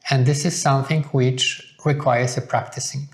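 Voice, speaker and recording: A man's voice: mid-range voice, fairly even intonation, moderate pace. Earnest man. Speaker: co-host of a couple's video channel. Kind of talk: relaxed sit-down chat to viewers.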